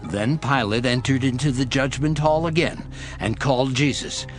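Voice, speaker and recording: A man narrating scripture in a steady, formal reading style. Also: mid-range voice, normal speed. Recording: narration over music.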